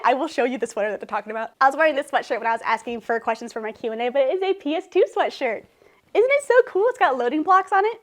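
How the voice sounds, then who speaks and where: Quick, high-voiced woman vlogging. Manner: emotional, laughing through tears, chatty. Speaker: YouTuber. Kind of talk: casual vlog talk to camera. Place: living room at home.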